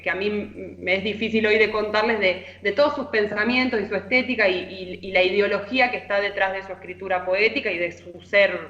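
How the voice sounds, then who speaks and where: A woman's voice: medium pitch, fairly bright tone. A woman with an Argentine accent giving a chatty, informal radio column full of anecdotes as a literary columnist. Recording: echoey, slightly dull remote audio.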